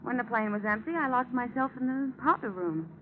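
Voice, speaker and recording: A woman's voice: fairly high pitch, fairly quick, natural voice. An actress playing a poised, saucy female stowaway with a cool, polite manner, in 1940s radio style. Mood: apologetic. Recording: tinny, hissy 1940s radio recording.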